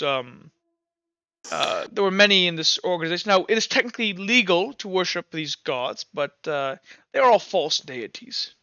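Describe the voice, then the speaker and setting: A man voicing an older, devout and wary priest of a temple, speaking haltingly with many fillers. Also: high voice, sharp tone, clean tone, no room sound, lo-fi sound, heavily acted.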